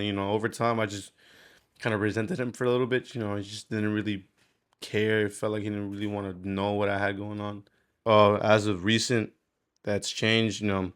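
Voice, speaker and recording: A man's voice: on the low side, clear, resonant tone. A man, speaking candidly in a rambling, slangy, sweary way, as in a casual confessional video diary. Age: 20s. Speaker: vlogger.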